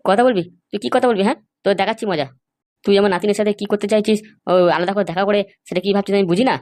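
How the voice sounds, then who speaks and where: Woman voicing a fast-talking angry old grandfather. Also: medium pitch, steady tone, darkish tone, close to an everyday voice. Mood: threatening. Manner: scolding, threatening. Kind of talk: amateur comedy cartoon dub.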